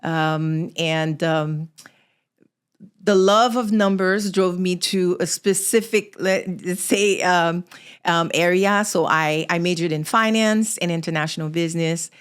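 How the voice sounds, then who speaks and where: A woman's voice: lowish voice, steady tone, unhurried, clean tone. A middle-aged woman from Haiti, telling her own story conversationally as a podcast guest. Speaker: podcast guest, small business founder.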